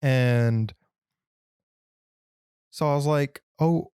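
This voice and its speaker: Young male podcast co-host, easygoing and wisecracking, mellow tone, dry close recording. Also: mid-range voice, extremely slow, clear, resonant tone, no room sound. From Michigan. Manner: jokey, laid-back. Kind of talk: casual chat.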